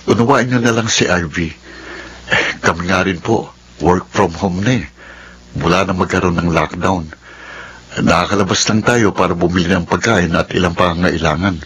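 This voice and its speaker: An actor playing a calm, respectful family friend in an audio drama, with a polite, reassuring manner. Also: male voice, sits low, moderate pace, slightly rough, heavily acted. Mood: calm concern.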